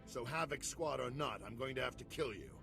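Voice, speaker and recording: A man playing a gruff, world-weary veteran commander in a game, gravelly, curt and sardonic. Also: medium pitch, a little fast, lightly acted. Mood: hostile, impatient. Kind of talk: video game cutscene acting. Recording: music behind the voice.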